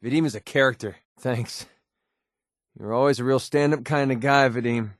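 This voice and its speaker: An American man voicing a cynical, wisecracking young mercenary with a soft side in a video game, speaking in a casual, sardonic way. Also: medium pitch, moderate pace, no room sound, clean studio sound, close to an everyday voice. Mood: friendly, upbeat. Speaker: video game companion character.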